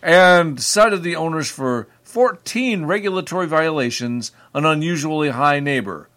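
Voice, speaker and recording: A man's voice: on the high side, animated, unhurried, fairly bright tone. Joking, sardonic man podcast co-host. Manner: joking, sardonic, stumbles while reading. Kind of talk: casual banter, reading news stories aloud.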